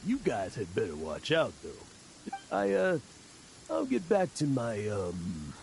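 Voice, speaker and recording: A man's voice: medium pitch, huge pitch swings, slow pace, creaky, a little mellow, a little hiss or hum, heavily acted. An actor playing a boastful but easily flustered adventurer in a video game, stammering through his bluster. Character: boastful, flustered male adventurer with a guilty conscience.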